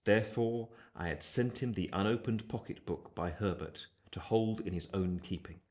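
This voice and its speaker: Low-voiced man acting an earnest gentleman narrator. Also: lightly acted. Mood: reflective. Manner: measured, literary. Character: earnest, reflective gentleman narrating his own story. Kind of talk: scripted first-person radio play narration.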